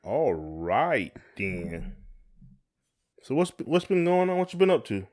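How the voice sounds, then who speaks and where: A man's voice: mid-range voice, big pitch swings, takes it slowly, a little mellow. A man co-hosting a casual conversational podcast, talking in a folksy, colloquial way and often repeating his phrases. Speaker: podcast co-host.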